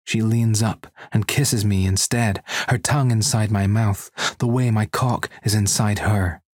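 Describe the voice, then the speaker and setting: A man narrating an erotic audiobook in an intimate, husky voice. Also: on the low side, steady tone, measured pace, dry recording, studio quality. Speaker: story reader.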